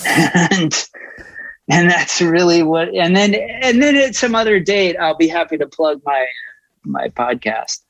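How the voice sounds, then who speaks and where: A man's voice: fairly high pitch, lively intonation, a little slow, a bit bright, no room sound. A middle-aged American man speaking earnestly and candidly as a podcast guest, emphatic and informal. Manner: impassioned, emphatic, informal with swearing. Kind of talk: earnest, candid interview conversation. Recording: dull, muffled lo-fi audio.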